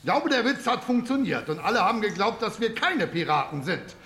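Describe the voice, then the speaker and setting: A man's voice: sits high, sing-song, fairly quick, a bit bright, slight background noise. An actor playing an anxious, sarcastic foster father posing as a pirate in a children's radio play, hesitant and nervously jolly. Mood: nervous bluffing. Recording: echoey scene with faint music.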